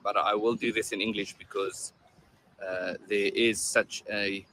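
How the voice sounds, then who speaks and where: A South African man whose first language is Afrikaans, speaking English with an Afrikaans accent in a measured, deliberate video statement. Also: lowish voice, fairly even intonation, pushed and bright, a little hiss or hum. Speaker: commentator in a played video.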